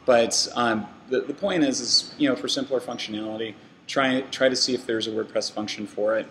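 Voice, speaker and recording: A man's voice: mid-range voice, fairly even intonation, fairly quick, a bit bright, a little hiss or hum, poor audio. A man giving a casual talk to a meetup audience, rambling with lots of fillers and restarts. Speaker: meetup speaker. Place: meeting room with an audience.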